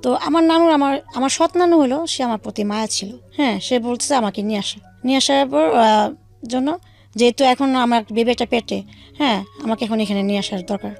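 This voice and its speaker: A woman's voice: a little high, brisk, vocal fry, soft background music. A woman with a Bangladeshi accent telling her own life story as an interview guest, in colloquial speech with quoted dialogue.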